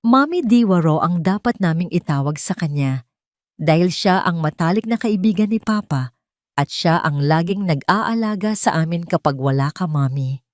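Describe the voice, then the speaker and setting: A woman's voice: fairly low pitch, lively intonation, moderate pace, dry recording, lightly acted. A woman narrator plays a small boy in an audio drama. The delivery is innocent and matter-of-fact, on a very dry recording. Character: small innocent boy talking to his mother.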